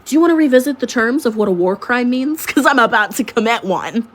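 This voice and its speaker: A woman's voice: on the high side, brisk. A young woman who is sick and a little congested, telling a messy personal story in a sarcastic, dramatic storytime vlog. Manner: animated, sarcastic, dramatic retelling.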